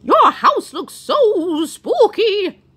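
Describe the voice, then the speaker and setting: Cheerful literal-minded housekeeper, very high, slow, swooping, squealy storybook voice. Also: female voice, sing-song, fairly bright tone, full character voice. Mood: delighted. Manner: squealing, wide-eyed, drawn-out exclamations. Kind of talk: storybook read-aloud.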